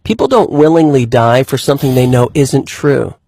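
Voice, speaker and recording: A man's voice: medium pitch, moderate pace, vocal fry, warm tone, no room sound. An actor playing an earnest male believer who explains his case methodically and articulately in a scripted skit. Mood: reasoned, emphatic.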